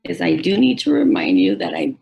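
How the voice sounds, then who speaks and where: A hoarse woman in her forties from Mexico, a native Spanish speaker and Spanish teacher, telling her life story in a halting way and often restarting and repeating phrases. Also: lowish voice, expressive, a little mellow. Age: 40s. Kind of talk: personal story told at a forum.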